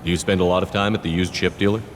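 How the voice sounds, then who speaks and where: A man's voice: deep voice, fairly even intonation, racing, a little hiss or hum, natural voice. A man playing a resolute, commanding soldier hero in a video game, firm and direct. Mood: businesslike.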